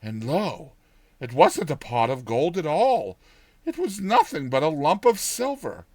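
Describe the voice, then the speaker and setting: A man narrating a dramatized audiobook tale in an expressive, theatrical storytelling manner. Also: a little high, unhurried, dry recording.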